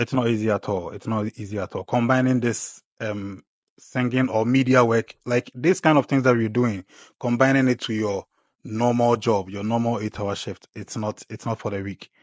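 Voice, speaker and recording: A man hosting a casual podcast, relaxed, trailing off quietly and filling with okays and ums. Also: fairly low pitch, normal speed, dry recording, clean studio sound. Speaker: podcast host.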